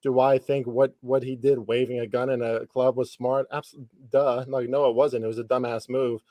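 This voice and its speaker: A man's voice: medium pitch, little pitch movement, moderate pace, dark tone, dry recording. A man in his thirties hosting a podcast, chatting casually about sports in informal, slangy language with some light swearing. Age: 30s. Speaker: podcast host interviewing a guest.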